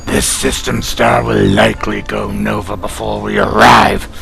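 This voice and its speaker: A man's voice: on the low side, flat delivery, music in the background. A man playing a scornful alien cat warrior in a sci-fi audio drama, with a growling voice and a haughty, taunting manner. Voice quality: growling, gravelly. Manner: haughty, taunting, slow and forceful. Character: gruff, scornful male catlike alien warrior.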